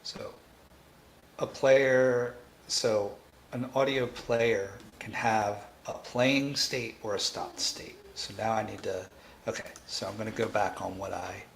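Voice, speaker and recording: Male coding tutor, low and gravelly, halting and repetitive as he works through problems aloud. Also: fairly even intonation, a little hiss or hum. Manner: halting, repeats phrases while reasoning aloud. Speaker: programming tutor and livestream host. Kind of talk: thinking aloud during live coding tutorial.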